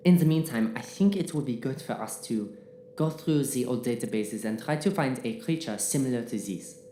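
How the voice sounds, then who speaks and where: A man playing a sensible, measured spaceship crew officer in an audio drama, speaking rather formally. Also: medium pitch, animated, dark tone, lightly acted. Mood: businesslike. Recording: echoey room, faint noise.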